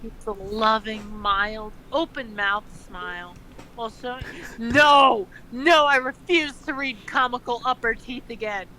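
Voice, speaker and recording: A woman's voice: on the high side, a little slow, sharp tone, rough recording. A woman doing a comedic dramatic reading with crude, mocking banter. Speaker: co-reader and commentator.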